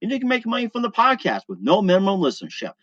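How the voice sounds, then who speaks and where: A man's voice: high-pitched, huge pitch swings, rapid-fire, fairly bright tone, dry recording, highs cut off. A man hosting his own podcast, reading sponsor spots and introducing a guest with many ums, uhs and restarts. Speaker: podcast host. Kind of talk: casual interview and self-read sponsor spots.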